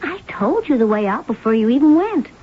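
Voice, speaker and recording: An actress playing a scheming, possessive, cold-blooded mistress in a 1970s radio drama, coaxing, hard and mocking. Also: female voice, high-pitched, very animated, fairly quick, breathy edge, exaggerated voice. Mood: cool, goading. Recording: dull, noisy old radio recording.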